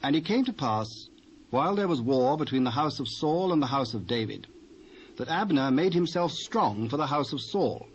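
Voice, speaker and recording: A British man narrating dramatised scripture in a measured, formal storytelling manner. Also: mid-range voice, animated. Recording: dull, hissy old cassette transfer.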